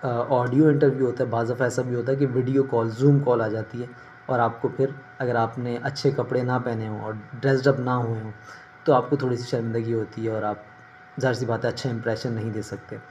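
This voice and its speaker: A middle-aged man giving informal advice to camera, explaining in a measured way with pauses, recorded indoors on a phone. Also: medium pitch, level tone, a little mellow, highs cut off. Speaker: career advice vlogger. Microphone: phone at arm's length. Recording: noticeable ambient background noise.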